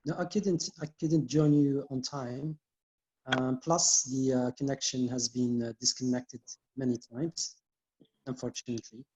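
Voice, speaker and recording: A man with a husky voice speaking as a guest in an online group over a lo-fi internet call. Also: medium pitch, fairly even intonation, a little slow, mellow.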